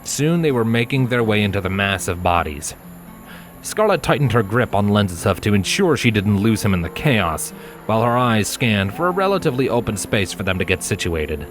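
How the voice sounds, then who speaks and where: Low-voiced man narrating a story. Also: big pitch swings, normal speed. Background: music.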